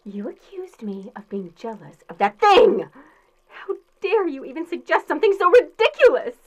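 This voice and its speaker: A shrill, high, indignant girlfriend. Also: big pitch swings, normal speed. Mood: indignant, scolding. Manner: melodramatic, scolding. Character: haughty, indignant, easily offended girlfriend. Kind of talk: theatrical audio drama acting.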